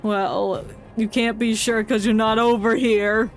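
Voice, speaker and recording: A woman's voice: on the high side, flat delivery, unhurried. A woman streaming a game with casual, joking, theatrical commentary over game music.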